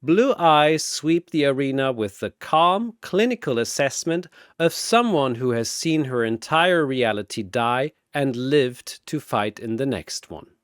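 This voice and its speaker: A man's voice: medium pitch, lively intonation, a little slow, hardly any pauses, clean tone, no room sound, clean studio sound. A man delivering dramatic scripted battle narration like an arena announcer, theatrical and grandiose.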